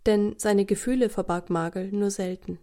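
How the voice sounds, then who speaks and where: A woman narrating a scripted children's story as the storyteller, in an expressive, deliberate way. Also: medium pitch.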